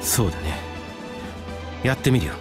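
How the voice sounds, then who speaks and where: A man acts a courteous young military commander from a video game. His voice is fairly low with lively intonation, measured and earnest in manner. Music is clearly heard behind it. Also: natural voice. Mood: calm, resolute. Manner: measured, earnest, gently formal. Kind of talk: Japanese video game voice acting.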